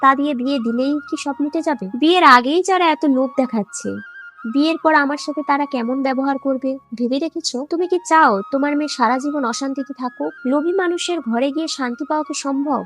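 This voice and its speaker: A woman's voice: high voice, fast, fairly bright tone, faint music behind. A woman voicing an outspoken, principled young village daughter in a cartoon, earnest and forthright. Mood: pleading, affectionate. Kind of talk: Bengali moral-story cartoon dialogue.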